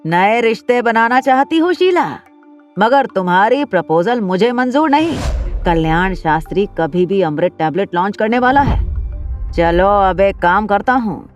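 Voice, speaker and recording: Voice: medium pitch, expressive, unhurried, soft background music, lightly acted. Vishal, narrator doing a pushy businessman. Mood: threatening. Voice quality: smooth, pushy bargaining. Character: scheming middle-aged businessman. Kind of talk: audio drama single-narrator voicing.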